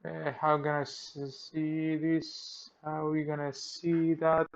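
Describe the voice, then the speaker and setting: A man with a Greek accent, speaking English as a second language, walks through a repair and thinks aloud in halting, simple sentences. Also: on the high side, completely flat delivery, creaky, muffled recording. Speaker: repair technician. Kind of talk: hands-on repair tutorial. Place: workbench.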